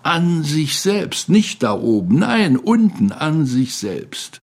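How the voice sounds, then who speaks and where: A man's voice: fairly high pitch, very animated, takes it slowly. An older man reminiscing spontaneously as an interviewee, with emphatic, dramatic storytelling and repeated phrases. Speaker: interviewee recalling a colleague.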